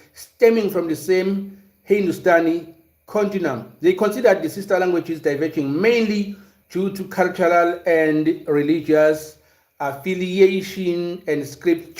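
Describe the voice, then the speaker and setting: A man's voice: high voice, a little mellow. A man from South Africa hosting a casual livestream, talking through a history topic and reading viewer comments, with lots of fillers and restarts. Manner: hesitant, many fillers and restarts. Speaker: livestream host. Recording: roomy echo.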